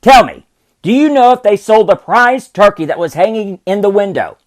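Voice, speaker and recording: Guy doing a giddy cackling old miser, high voice, swooping all over the place. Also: animated, normal speed. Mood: gleeful. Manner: cackling, exclaiming.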